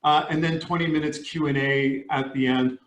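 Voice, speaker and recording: A man in his forties hosts a webinar over a video call, friendly and upbeat with small restarts. His slightly high voice rings in a roomy, echoey space. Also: steady tone, normal speed. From San Diego, USA. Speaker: coach and managing partner. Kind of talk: webinar hosting. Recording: echoey room.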